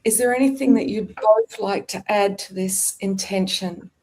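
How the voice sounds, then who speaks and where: A woman hosting a spiritual podcast over a video call, speaking in a slow, reverent, ceremonial way as she leads an invocation. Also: medium pitch, a little mellow, low-quality recording. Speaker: podcast host.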